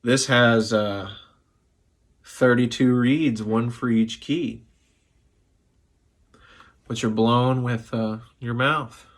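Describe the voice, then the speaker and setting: A young man who is sick and slightly hoarse, talking loosely and profanely to camera from his living room after a few drinks. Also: mid-range voice, steady tone, drawn-out words, long pauses. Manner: profane, loose and chatty, a bit tipsy. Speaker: music vlogger. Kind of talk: casual rambling talk to camera.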